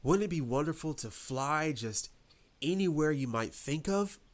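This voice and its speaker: A man voicing a kind, dreamy little boy in a storybook, speaking gently and earnestly. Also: a little high, animated, measured pace, pitched above the performer's own voice. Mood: dreamy, eager.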